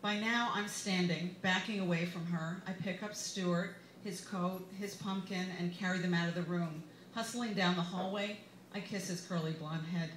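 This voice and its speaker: An American woman, an author giving an expressive live reading of her memoir in a wry manner. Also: on the low side, normal speed. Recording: roomy, echoey bar with background noise.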